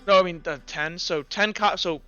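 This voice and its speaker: Male game master, high bright voice, casual and halting, slightly muffled audio with music underneath. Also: clear, resonant tone, no echo. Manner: halting, thinking out loud. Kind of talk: casual tabletop game talk. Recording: slightly dull, music behind. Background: background music.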